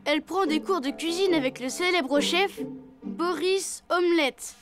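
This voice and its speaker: A woman's voice: extremely high pitch, a little slow, music in the background. A woman voicing a polite, eager cartoon boy.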